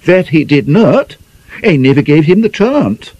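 A man's voice: fairly high pitch, sing-song, a little slow, darkish tone, lo-fi sound, voice pushed up. A man voicing a prim young woman of twenty-four who protests indignantly at her friend's gossip.

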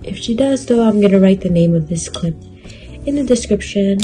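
A woman's voice: mid-range voice, normal speed, over music. A young woman vlogging at home, chatting casually and tiredly to a phone camera with lots of fillers. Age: young adult. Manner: relaxed, chatty, filler-heavy with "like" and "kinda". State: low energy, tired. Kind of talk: casual vlog chat to camera. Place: bedroom, indoors, small. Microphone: phone camera at arm's length.

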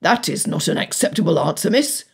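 A woman voicing a stern, exasperated gentleman father in an audiobook, with a gruff, commanding and formal manner. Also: sits low, normal speed. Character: stern, exasperated titled father of a wilful daughter. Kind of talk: audiobook character dialogue.

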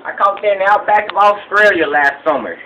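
A man playing a boastful, broke rural hunter who shows off his trophies in a rambling, deadpan way. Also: sits high, a little fast, background noise, close to an everyday voice. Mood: bragging. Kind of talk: home comedy sketch.

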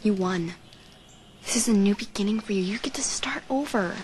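A woman plays a devoted young woman from a rich family in a soap opera. She speaks softly and reassuringly, often dropping into a whisper, at a middling pitch for a woman. Steady noise runs under the TV audio. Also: normal speed, natural voice. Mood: earnest tender. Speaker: actor in a daytime soap.

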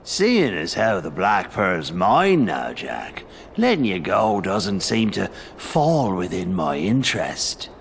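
Sly old game pirate captain, commanding, gravelly, very slow and sardonic. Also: male voice, low-pitched, wildly swooping pitch, a little hiss or hum, full character voice. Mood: cold, scornful. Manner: slow, theatrical, sardonic drawl. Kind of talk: video game cutscene acting.

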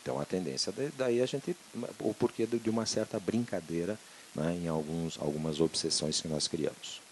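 A middle-aged man from Brazil, a physician giving an animated, conversational lecture on a handheld microphone in a conference room, often checking in with his audience. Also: deep voice, normal speed, a little hiss or hum, low-quality recording. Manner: animated, conversational, full of tag questions.

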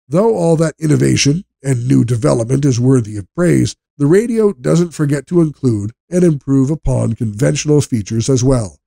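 A male narrator delivers a scripted product review in a measured, polished manner. His voice is mellow and relaxed, with a noticeable fry at the edges. The recording is dry and close, free of room sound. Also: mid-range voice, no echo.

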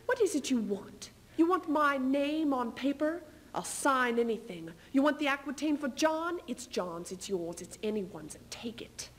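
Weary, sharp-tongued queen for stage drama young actress: highish voice, widely swinging intonation, biting delivery. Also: animated, measured pace, hardly any pauses. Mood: weary, pleading. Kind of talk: theatrical duo interpretation, stage reading. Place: indoor stage in front of curtain.

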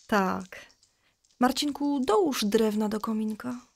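Slow-spoken storytelling mother, high voice. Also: creaky, no echo, studio quality, heavily acted. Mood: patient, instructive. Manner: explanatory, measured. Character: patient mother telling children Bible stories. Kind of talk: children's audio drama narration.

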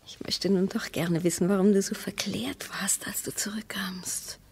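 A very breathy, bitter, anxious wife. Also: female voice, mid-range voice, fairly even intonation, moderate pace. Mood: hushed, bitter. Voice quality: breathy, husky. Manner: volatile, moving between breathy whispers and sharp outbursts. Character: anxious, bitterly mocking wife trapped underground with her husband. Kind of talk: radio play acting.

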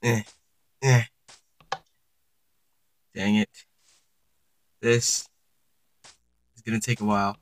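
A man's voice: lowish voice, little pitch movement, takes it slowly, pauses often, a little hiss or hum. A young man giving casual gameplay commentary for his channel, chatting to viewers and reacting aloud as he plays. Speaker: gaming YouTuber.

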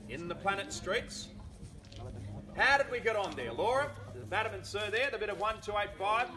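High-pitched Australian male auctioneer. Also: lively intonation, moderate pace. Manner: projecting to a gathering, repetitive bid chant. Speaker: real estate auctioneer. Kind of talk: live property auction calling with patter. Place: backyard of a house, outdoors. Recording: outdoor crowd sound, filmed from several metres. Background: crowd of onlookers.

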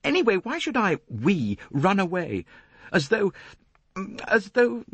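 A man voicing an impatient, indignant wealthy young married woman with a sharp, agitated manner in an audiobook. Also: high voice, wildly swooping pitch, measured pace, husky, no echo, voice pushed up, exaggerated voice.

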